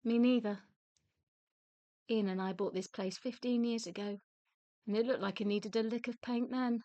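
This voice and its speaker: A woman's voice: on the high side, steady tone, moderate pace, warm tone, dry recording, highs cut off, natural voice. A woman with a British accent playing a weary, resentful, blunt and sardonic widow in a radio play. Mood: dry, guarded.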